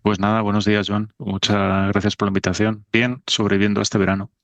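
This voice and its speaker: A man's voice: fairly low pitch, level tone, brisk, no echo. A middle-aged man from Spain, a podcast guest talking in a thoughtful, self-deprecating way. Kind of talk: casual conversation.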